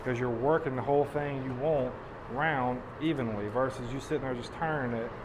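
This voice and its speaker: Hoarse man, blacksmith demonstrator, folksy step-by-step teaching, loud hammer clanging. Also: mid-range voice, normal speed, background noise. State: hoarse, losing his voice.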